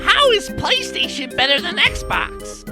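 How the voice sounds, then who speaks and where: A woman's voice: extremely high pitch, very animated, slow, music in the background, heavily acted. A woman voicing a cheeky, opinionated young male monkey sidekick in a scripted comedy cartoon, with a sassy, argumentative manner.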